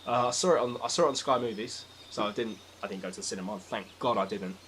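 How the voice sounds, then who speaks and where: A man's voice: on the low side, fast talker, a bit bright, slight background noise. A British man in a casual, opinionated film discussion, talking emphatically with exasperated, sweary rants. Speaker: podcast speaker.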